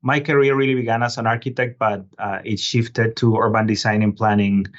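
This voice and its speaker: A middle-aged man from Colombia, a guest urban planner giving measured explanatory answers with frequent filler sounds. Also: fairly low pitch, fairly even intonation, lo-fi sound. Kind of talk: podcast interview answers.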